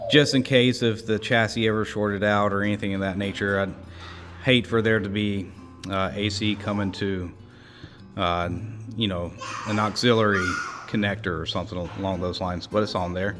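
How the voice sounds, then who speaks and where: A man giving a casual, halting hands-on demonstration as host of a repair channel, rambling as he explains. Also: fairly low pitch, fairly even intonation, over music. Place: indoors at home.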